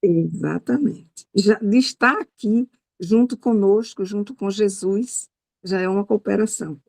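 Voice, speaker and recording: A woman's voice: mid-range voice, measured pace, creaky, darkish tone. A Brazilian woman hosting an informal spiritual study group and inviting others to speak. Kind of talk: leading an informal group discussion.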